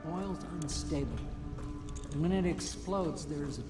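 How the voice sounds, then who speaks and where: An actor playing a formal, precise and eccentric weapon-making inventor in a video game. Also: male voice, on the high side, expressive, takes it slowly, loud music behind the voice. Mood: flustered, urgent. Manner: formal, exacting. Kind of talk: scripted video game dialogue.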